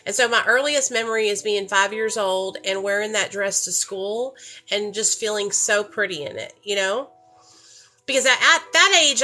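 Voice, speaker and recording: A woman's voice: mid-range voice, sharp tone, low-quality recording. Chatty woman telling her story. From rural United States. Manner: candid, chatty, addresses listeners directly. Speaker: speaker sharing her recovery story. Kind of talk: personal testimony to a group.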